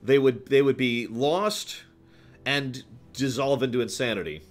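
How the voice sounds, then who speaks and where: A man hosting a livestream, answering viewer questions in casual, off-the-cuff commentary with an animated, playful way of talking. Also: medium pitch, measured pace. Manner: animated, chuckles through his points, acts out examples.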